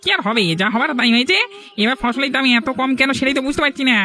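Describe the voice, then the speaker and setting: A man's voice: very high-pitched, quick pace, sharp tone, heavily acted. A man voicing a chattering, doubtful village gossip in a cartoon. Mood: gossipy. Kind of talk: Bengali cartoon dialogue dubbing.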